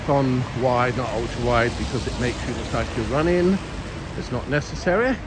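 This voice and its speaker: A man's voice: medium pitch, moderate pace. An older man in his late sixties or above chatting casually and ramblingly to viewers as he walks outdoors through a city. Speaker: live-streaming city walk vlogger. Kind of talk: casual rambling chat to viewers while walking. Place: outdoors on busy city streets. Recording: clear street and traffic noise. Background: urban street ambience, vehicles.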